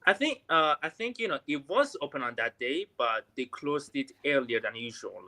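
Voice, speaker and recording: A man with an Iranian accent, joining a livestream over a call to practise English. His voice is bright and a little tense, and he fills his many pauses with "you know". His speech is hesitant and gets restarted often. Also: mid-range voice, lively intonation. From Iran. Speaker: learner calling in. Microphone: remote call audio.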